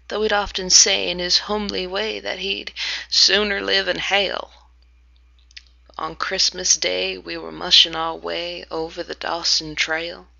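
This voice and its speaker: Slow, gravelly woman reciting a poem. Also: mid-range voice, no echo, highs cut off. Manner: dramatic, with hushed whispered passages. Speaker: amateur reader. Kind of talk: reading a narrative poem aloud.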